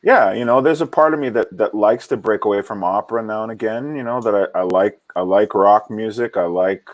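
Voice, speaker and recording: A man from Hawaii, an opera singer, talking reflectively in an interview with many halting restarts and repeated words. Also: lowish voice. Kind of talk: reflective casual interview talk.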